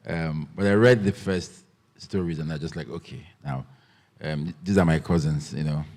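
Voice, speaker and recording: A man moderating a literary festival panel, relaxed and joking with lots of ums. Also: rumbling low, moderate pace, in a large hall. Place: festival event hall.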